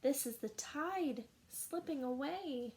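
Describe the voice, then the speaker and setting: Slow, expressive woman reading a storybook. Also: high-pitched, noisy background. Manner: slow, expressive rhyming narration. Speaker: teacher reading a picture book aloud. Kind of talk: storybook read-aloud.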